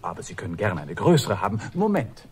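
A man's voice: fairly low pitch, wildly swooping pitch, a little fast, a little mellow, husky, exaggerated voice. An actor playing an eager, obliging watchmaker with a salesman's manner in a children's radio play. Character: eager, courteous watchmaker selling his wares.

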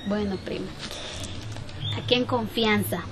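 A woman's voice: medium pitch, steady tone, a little slow, lightly acted. A young Salvadoran woman playing a worried, indignant farm owner in an amateur sketch, emphatic and repeating herself as she explains. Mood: defensive, explaining. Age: young adult. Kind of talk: amateur improvised sketch acting. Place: outdoors in a rural yard. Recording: outdoor camera sound, faint ambient noise.

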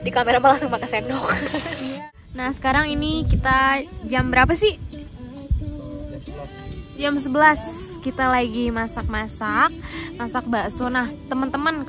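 A woman chatting casually to her viewers while camping outdoors, with music playing behind her. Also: fairly high pitch. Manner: chatty, addresses viewers directly. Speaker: camping vlogger. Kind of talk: casual vlog chat to camera. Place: outdoors at a misty hill campsite and in a tent. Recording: talk over loud background music.

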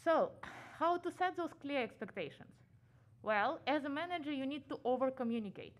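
A woman from Ukraine with a Ukrainian accent, giving a conference talk quickly with many "uh" fillers, her voice muffled by a face mask. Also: high voice, expressive. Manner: fast-talking, frequent "uh" fillers. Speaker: conference speaker. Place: conference room.

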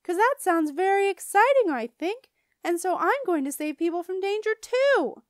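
An excitable, headstrong young girl from a children's storybook, as a woman reader puts her on. She speaks loudly and very high, stressing words with childish bluntness. The recording is dry and close. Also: moderate pace, no echo. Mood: eager. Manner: loud, emphatic, childish grammar. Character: brash, excitable, stubborn young schoolgirl. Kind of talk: children's audiobook character voice.

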